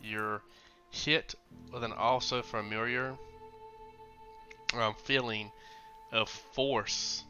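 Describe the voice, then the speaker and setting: A man narrating as game master for a tabletop game, pausing often with fillers as he checks the rules. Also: medium pitch, fairly even intonation, bright, forceful tone, dull audio, soft background music. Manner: halting, many fillers and restarts while checking rules.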